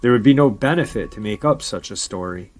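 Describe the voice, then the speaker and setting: Low-voiced man reading eerie encounter stories. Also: fairly quick, soft background music. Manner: steady storytelling read with brief casual asides. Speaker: paranormal channel host. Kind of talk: reading viewer-submitted encounter stories aloud.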